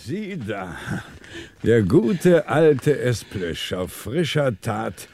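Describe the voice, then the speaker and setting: An actor playing a shrewd, commanding police commissioner with a measured, dryly ironic manner in a radio crime drama. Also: male voice, medium pitch, very animated, vocal fry, a little mellow, full character voice. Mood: triumphant, stern. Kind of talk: 1960s German radio crime drama acting.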